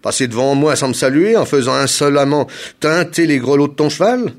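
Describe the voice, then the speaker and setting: A man voicing a haughty, bullying provincial governor in a folk tale, loud, imperious and given to exclamation. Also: lowish voice, wildly swooping pitch, normal speed, creaky, breathy edge, heavily acted. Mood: haughty, threatening.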